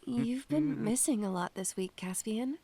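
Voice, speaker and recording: A woman's voice: on the high side, animated, unhurried, warm tone, no echo. A woman playing a wistful young student who talks to spirits, in a poetic, dramatic audio drama style. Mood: gently chiding. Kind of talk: audio drama with poetic first-person narration.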